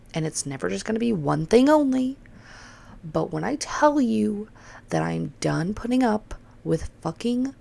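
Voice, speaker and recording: A woman in her thirties hosting a casual solo podcast, blunt and swearing as she rants, her voice hoarse and congested. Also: medium pitch, darkish tone. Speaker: podcast host.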